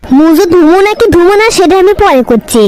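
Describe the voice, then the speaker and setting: A woman's voice: very high-pitched, expressive, a little fast, darkish tone. A woman voicing a sassy, vain, whiny young village girl in a comedy cartoon, arguing indignantly. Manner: indignant, argumentative back-talk.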